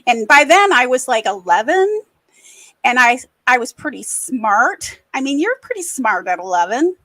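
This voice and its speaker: A middle-aged woman from the American Midwest, hosting a podcast and speaking candidly and reflectively, often pausing and restarting. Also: high-pitched, very animated, sharp tone, no echo. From Racine, Wisconsin, USA. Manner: earnest, reflective, halting. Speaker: podcast host. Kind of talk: candid personal conversation.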